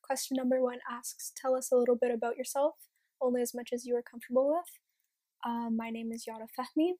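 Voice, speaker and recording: A woman's voice: a little high, fairly even intonation, fairly quick, dark tone, no room sound, clean studio sound. A teenage girl reading a question and introducing herself. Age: teens. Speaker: podcast guest.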